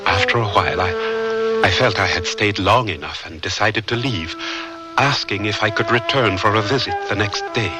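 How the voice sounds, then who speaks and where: Young african frontiersman in love, lowish voice, very lively delivery, sincere, radio drama. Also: low-quality recording. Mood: earnest reminiscence. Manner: earnest, storytelling, hesitant at times. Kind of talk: radio drama narration and acting.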